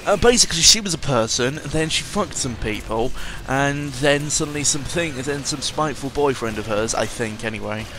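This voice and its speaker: A man's voice: mid-range voice, animated, a little fast, few pauses, background noise. A man giving a casual, rambling audio-log monologue as host, sardonic and cynical, often interrupting himself and swearing freely.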